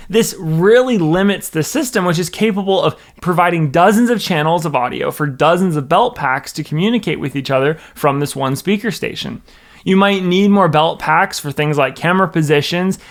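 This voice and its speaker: An American man narrates a scripted product walkthrough. His voice sits a little high for a man and carries a strong creak. He explains things methodically, step by step, like an instructor. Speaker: presenter, church production director.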